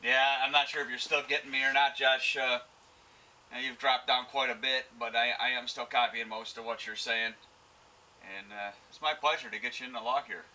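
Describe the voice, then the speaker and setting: Male ham radio operator and video host, casual and halting, average pitch, very noisy radio-static backdrop. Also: steady tone. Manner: halting, uses radio procedure words and callsigns. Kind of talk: casual ham radio contact with on-camera commentary. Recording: loud radio static and noise. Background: radio receiver noise and static.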